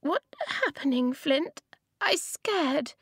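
A woman's voice: animated, slow, dry recording, clean studio sound, full character voice. A woman voicing a small, scared little girl who speaks in broken, simple phrases. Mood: timid. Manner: high, childlike, dropping little words.

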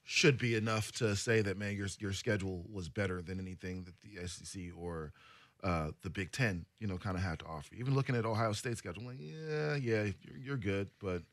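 Male radio co-host, lowish voice, dry studio mic, casual emphatic banter. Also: fairly quick, no room sound. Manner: loose, joking, emphatic.

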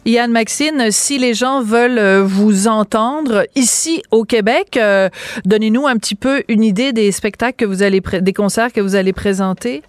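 A woman hosts a radio interview, asking friendly questions with frequent fillers. Her voice is smooth and clear, a touch high, and the studio sound is dry. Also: normal speed, dry recording, soft background music. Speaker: radio host.

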